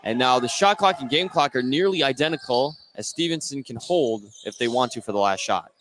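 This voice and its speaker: A man's voice: medium pitch, animated, a little fast, fairly bright tone. A man with an American accent giving live play-by-play commentary as a basketball announcer. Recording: clear gym noise, bouncing basketballs behind. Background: basketball game in a gym.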